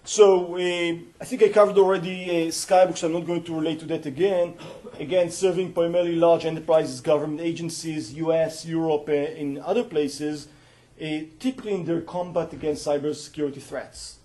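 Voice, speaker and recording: A middle-aged man with an Israeli accent, lecturing to a university audience. His voice sits a touch high for a man but sounds soft and mellow rather than bright. He explains things earnestly, with frequent hesitations and restarts as he finds his words. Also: steady tone, brisk. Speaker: company founder giving a guest lecture. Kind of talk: university colloquium talk.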